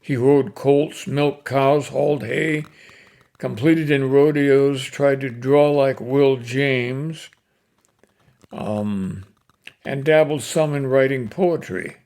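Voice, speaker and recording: An older man with a folksy, unhurried manner, hosting and reciting cowboy poetry in short, paused phrases. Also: medium pitch, vocal fry, darkish tone. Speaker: host reading cowboy poetry. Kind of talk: casual introduction and expressive poem recitation.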